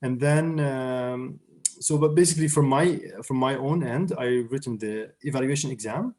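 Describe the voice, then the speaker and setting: A young man from Syria answers questions as an interview guest. His voice sits in an ordinary middle pitch. He talks casually over a video call, full of fillers and restarts. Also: normal speed, a little mellow. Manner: hesitant, many fillers and restarts. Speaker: interview guest, surgery resident.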